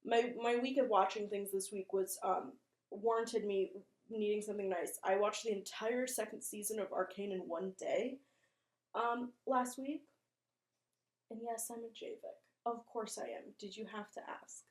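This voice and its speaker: A woman's voice: mid-range voice, fairly even intonation, a little slow. A woman giving casual video commentary as a host, chatty, rambling and self-deprecating. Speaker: host of a horror video channel. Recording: roomy, echoing room sound.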